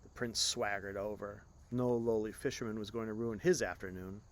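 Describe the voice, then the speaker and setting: A man reading a children's-style story aloud as an expressive storyteller. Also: lowish voice, normal speed. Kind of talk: expressive scripted story narration.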